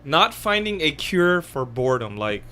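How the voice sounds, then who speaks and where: A man's voice: fairly high pitch, very animated, moderate pace, bright, forceful tone. A man co-hosting a podcast in casual chat, joking around and tripping over his words. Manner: playful, jokey, stumbles over words. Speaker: podcast co-host.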